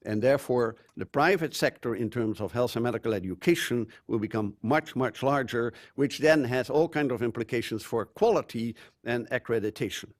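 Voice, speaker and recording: Older man, Dutch accent, conference speaker, dry close sound, unhurried with fillers. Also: mid-range voice, dry recording. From Netherlands. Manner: measured, loose phrasing with frequent fillers. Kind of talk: conference talk with slides. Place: conference room.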